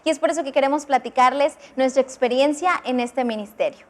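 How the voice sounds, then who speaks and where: A young woman speaks in a high, clear voice. She explains the ministry's mission in an earnest, heartfelt way, as a guest on a church TV program. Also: brisk. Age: 20s. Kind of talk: testimonial explanation.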